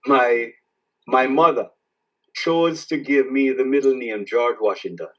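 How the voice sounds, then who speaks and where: A man from Jamaica with a Jamaican accent, an author answering interview questions in a reflective, halting way that repeats words as he starts each thought. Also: mid-range voice, fairly bright tone, distant mic, lo-fi sound. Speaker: author guest.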